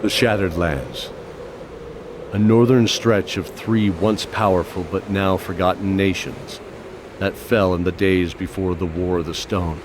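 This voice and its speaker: Man as a low-voiced solemn fantasy narrator, noisy. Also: steady tone, unhurried. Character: solemn male storyteller of a fantasy tale. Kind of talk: scripted dramatic narration. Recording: clear background ambience noise.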